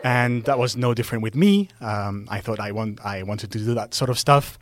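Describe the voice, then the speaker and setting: A man's voice: fairly low pitch, normal speed, no room sound, studio quality. A middle-aged man, a non-native speaker whose first language is Greek, explaining earthquake science as a guest, earnest and full of fillers. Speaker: guest seismologist. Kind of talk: explanatory interview talk.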